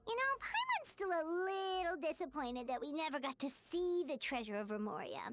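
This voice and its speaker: A woman voicing a small, childlike, cheeky fairy companion in a video game, squeaky and theatrically exclamatory. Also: big pitch swings, brisk, fairly bright tone, a little hiss or hum. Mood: curious, chatty.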